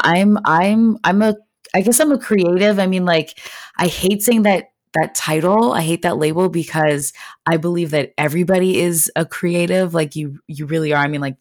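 A woman from Canada in her thirties, a podcast guest chatting in an animated way with many fillers. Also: extremely low pitch, no echo, studio quality. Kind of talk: casual interview chat.

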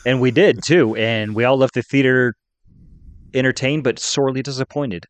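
A man's voice: mid-range voice, normal speed, no room sound. A man, an informal and opinionated podcast co-host in casual chat. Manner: informal, opinionated fan talk. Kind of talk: casual geek-culture chat.

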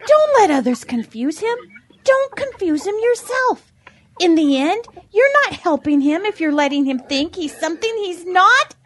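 Audio drama farm animal, played by a woman: sensible peacemaker, pleading and pious, extremely high, animated voice. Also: unhurried, slight background noise, exaggerated voice. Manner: earnest, pleading, moralizing.

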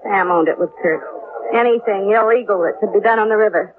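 An actress playing a brassy, world-weary American carnival barker in a 1950s radio drama, slangy and hard-boiled. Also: female voice, medium pitch, a little fast, close to an everyday voice. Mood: frank, wry. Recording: tinny, noisy 1950s radio recording.

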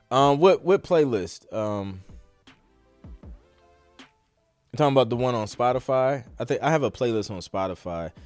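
An American man hosting a podcast, chatting casually through a live Q&A in an informal, slangy, rambling way. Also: low voice. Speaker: podcast host.

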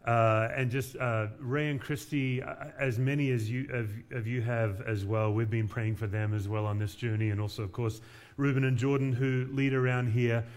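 A man's voice: medium pitch, steady tone, normal speed. A man from Western Australia preaching as a guest pastor, conversational with light humor and frequent fillers. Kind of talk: sermon.

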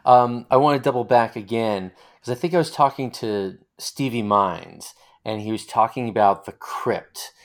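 A man's voice: medium pitch, moderate pace, few pauses. A middle-aged man hosting a conversational interview, working his way into questions in a tentative, roundabout manner. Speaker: interviewer, host. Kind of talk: conversational oral-history interview.

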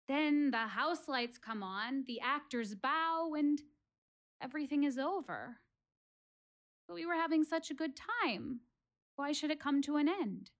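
An actress performs a reflective female warrior in a solo audio play. Her voice sits fairly high for a woman. She addresses her audience earnestly and theatrically, building rhetorical points with deliberate pauses. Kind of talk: one-woman stage monologue audio play.

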